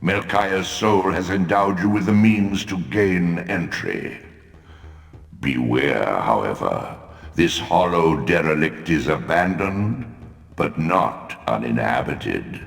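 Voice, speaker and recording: An ancient, commanding god booms in an extremely deep voice that swoops with stately weight. The video game delivery is gravelly and portentous, in cavernous reverb. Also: male voice, expressive, slow pace, heavily acted. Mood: commanding, approving. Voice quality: booming, gravelly. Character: ancient male elder god, solemn, commanding, all-knowing. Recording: cavernous echoing reverb, loud music behind.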